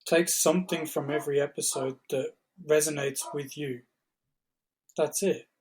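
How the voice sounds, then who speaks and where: A man co-hosting a podcast, talking in a measured, encouraging way as he shares anecdotes. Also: a little high, steady tone, a little mellow, a little hiss or hum. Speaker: podcast co-host. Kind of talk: casual podcast chat.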